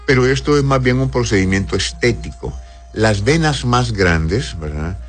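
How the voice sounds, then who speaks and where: A man's voice: mid-range voice, animated, normal speed, faint music behind. A man working as a doctor, reading listeners' health questions aloud and answering them in a live advice show, with speech and affectionate words for his callers. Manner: reads viewers' messages aloud, answers tenderly, calls them "corazón".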